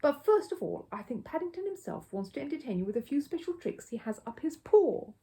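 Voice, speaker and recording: A woman's voice: high voice, sing-song, a little fast, warm tone, voice pushed up. A genial middle-aged dad from a storybook, read by a woman. He speaks like a tactful party host making announcements. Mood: announcing.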